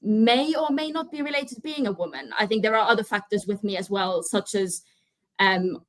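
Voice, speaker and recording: A young woman from Finland speaks earnestly as a podcast guest, her voice at an ordinary middle pitch. She often loops back and restarts her phrases. The recording is close and dry, with no room sound. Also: moderate pace, no echo. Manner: earnest, many restarts and "you know". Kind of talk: casual interview chat.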